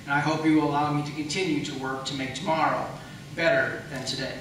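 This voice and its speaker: A man's voice: on the high side, steady tone, quick pace, fairly bright tone. A man running for office, giving emphatic, insistent answers in a campaign debate. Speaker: political candidate, incumbent county attorney. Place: public debate in an echoey indoor room, mic at a distance. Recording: echoey room, distant mic, faint noise.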